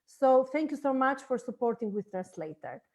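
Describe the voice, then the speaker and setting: A woman, an English speaker, presenting a project in an online info session and pausing often to search for words. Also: high-pitched, sing-song, a little mellow. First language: Albanian. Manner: halting, searching for words in a second language. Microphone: video call.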